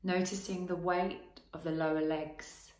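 Slow, low-voiced woman guiding relaxation. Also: flat delivery, roomy sound. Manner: gentle, unhurried instruction with long pauses between short phrases. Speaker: guided relaxation and movement teacher. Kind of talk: guided body scan meditation.

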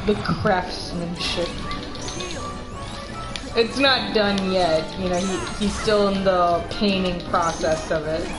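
A woman's voice: on the low side, slow. A woman co-hosting a game livestream, bantering casually in a teasing, jokey way. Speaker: game stream co-host. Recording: roomy echo, game music behind.

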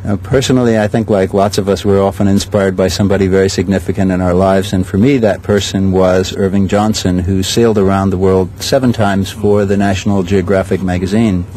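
An older man directing a sailing school, answering interview questions in a measured way with many pauses. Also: sits low, little pitch movement, mellow, a little hiss or hum, rough recording. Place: outdoors at a regatta.

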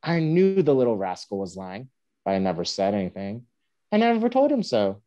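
A wry young newspaperman played by a woman spins a tall tale in a staged play reading. The voice is very low and soft-edged, swinging up and down with dry, self-mocking humour. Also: very animated, moderate pace, muffled recording. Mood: wry, mock-rueful. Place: home room on a group video call.

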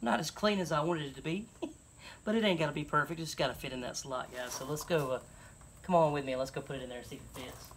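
A man's voice: on the high side, quick pace, fairly bright tone, slight background noise. A middle-aged man with a Southern American accent, chatting casually and folksily as he thinks aloud through a DIY job for his vlog. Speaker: truck camping vlogger. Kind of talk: casual DIY chat to camera. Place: outdoors and inside a truck bed by an open garage. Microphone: handheld phone at arm's length.

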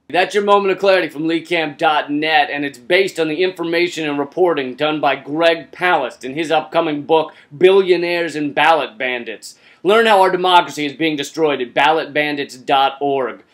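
A man's voice: fairly high pitch, normal speed, fairly bright tone. An American man in his thirties delivering a sarcastic, emphatic satirical monologue as the host of a political comedy show. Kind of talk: satirical comic monologue to camera.

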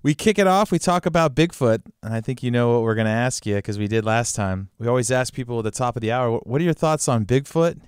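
A man's voice: medium pitch, lively intonation, fast talker, few pauses, clear, resonant tone, no echo, studio quality. A man hosting a podcast, chatty and enthusiastic, often repeating words as he talks. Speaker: podcast host. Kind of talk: casual interview chat.

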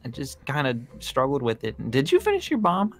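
A man's voice: medium pitch, huge pitch swings, moderate pace. A man playing a practical, skeptical survivor in an improvised Minecraft roleplay, talking in a hesitant, questioning way. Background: background music.

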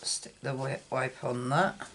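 An older woman giving an unscripted card-making demonstration, haltingly thinking aloud as she works at a table indoors. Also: a bass voice, little pitch movement. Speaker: craft tutorial host. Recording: clear background noise, clicks.

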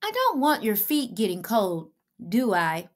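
A woman voicing a kindly, affectionate elderly grandmother in a storybook, gentle and doting. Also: mid-range voice, huge pitch swings, a little slow.

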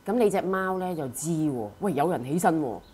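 A woman's voice: deep voice, expressive, fairly quick, a little mellow, husky. A woman with a Hong Kong Cantonese accent chatting casually and sharing personal anecdotes as a podcast co-host.

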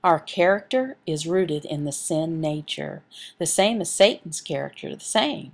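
A woman reading a sermon and scripture aloud as a voice interpreter, in measured, halting short phrases. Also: low voice, animated, creaky.

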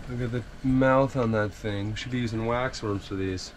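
A man's voice: fairly low pitch, steady tone, normal speed. A young man with a North Dakota accent, casually chatting for his vlog inside an ice fishing tent. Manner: easygoing, jokey banter. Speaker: vlogger. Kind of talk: casual outdoor vlog chat. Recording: noisy camera audio in a tent.

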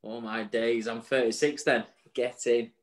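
A man's voice: medium pitch, level tone, unhurried. A man, co-hosting a casual chat show over a video call, jokey and chatty, with the odd swear word. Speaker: co-host. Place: home room with virtual bar backdrop. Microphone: video call webcam.

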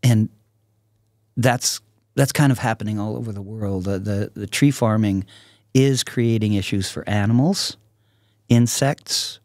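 A man in his sixties speaking casually and reflectively as a podcast guest, in a halting, thoughtful way. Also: fairly low pitch, darkish tone, no echo, studio quality. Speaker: podcast guest, artist and songwriter. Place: small podcast studio with curtains. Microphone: close podcast microphone on a stand.

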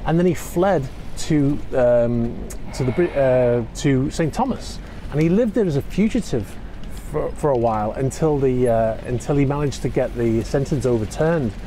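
Man in his forties, selfie vlogger on a dock, mellow voice, faint outdoor noise, loose rambling delivery. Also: medium pitch, lively intonation, moderate pace, hardly any pauses. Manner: chatty, rambling, with frequent fillers and restarts. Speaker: yacht vlogger. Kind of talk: casual walk-and-talk vlog. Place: outdoor marina dock in cold wind. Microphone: phone held at arm's length, selfie framing.

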